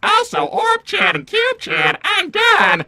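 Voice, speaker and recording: A woman's voice: extremely high pitch, wildly swooping pitch, takes it slowly, pushed and bright, faint music behind, heavily acted. A woman voicing a smug little robot henchman in a comic cartoon roleplay, taunting and sarcastic. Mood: excited.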